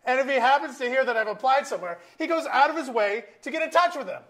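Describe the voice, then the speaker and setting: Man playing high-pitched, animated, wry middle-aged lawyer. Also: quick pace. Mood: aggrieved. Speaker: actor in a staged reading. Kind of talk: theatrical dialogue reading. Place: museum auditorium stage.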